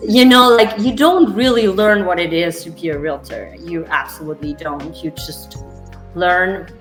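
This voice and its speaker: A woman's voice: fairly low pitch, normal speed, roomy sound, faint music behind. A woman from Germany with a German accent, chatting casually as a real estate agent guest, full of "you know" fillers. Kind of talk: casual interview chat.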